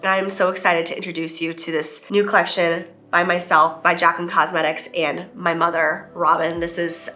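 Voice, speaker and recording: A woman in her thirties with a bright, forceful voice, talking straight to camera in a gushing, emotional rush. A head cold leaves her nasal and stuffy as she chats casually on her own beauty vlog. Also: on the low side, flat delivery. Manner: gushing, emotional, talks fast. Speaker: beauty vlogger. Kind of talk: casual chat.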